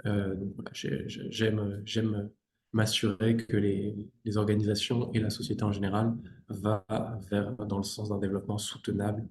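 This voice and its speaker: A man's voice: lowish voice, monotone, normal speed, darkish tone. A young man with a southwestern French accent leads an online training, inviting participants to discuss. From southwest France. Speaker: trainer, sales and strategy expert. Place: indoors, on a video call. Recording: roomy, echoing sound.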